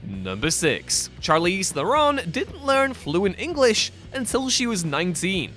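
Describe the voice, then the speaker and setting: Man, scripted voice-over narration, somewhat high voice, wry, faint music underneath. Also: huge pitch swings, a bit bright. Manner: brisk, wry, enthusiastic. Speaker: list video narrator.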